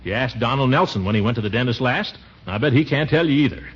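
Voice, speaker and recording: Pompous, blustering radio-comedy uncle, animated voice. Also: male voice, medium pitch, fast talker, slightly rough. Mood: flustered bluster. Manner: blustery, evasive, hesitant, chuckling. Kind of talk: 1940s radio comedy acting. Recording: noisy, muffled 1940s radio recording.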